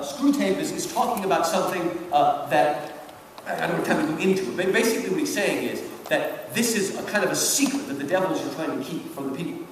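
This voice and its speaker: A man giving an animated public lecture on literature, emphatic and repetitive, often checking in with his listeners. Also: fairly high pitch. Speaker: lecturer giving commentary on a book. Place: large hall, public event. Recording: distant, very echoey hall, background noise.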